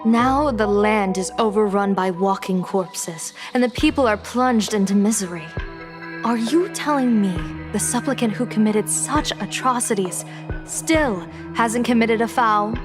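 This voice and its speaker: A woman playing a composed, authoritative general in a video game, speaking in a measured, formal and firm way over music. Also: mid-range voice, lightly acted. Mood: accusing. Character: composed, righteous female general, probing and authoritative. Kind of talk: scripted video game voice acting.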